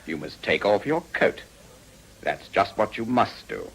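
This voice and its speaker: A man playing a courteous, subtly pointed Prime Minister in a period radio drama, husky, with an upper-class English accent. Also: fairly low pitch, very animated, a little slow, a bit bright, exaggerated voice, poor audio. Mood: genial, pointed.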